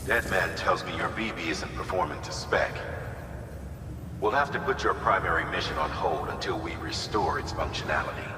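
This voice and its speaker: Man playing a deep-voiced, commanding older mission boss. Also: normal speed, music in the background, lightly acted. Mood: stern, measured. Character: gruff, authoritative older commander briefing an operative. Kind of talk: scripted video game cutscene dialogue. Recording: echoey hall, clearly noisy background.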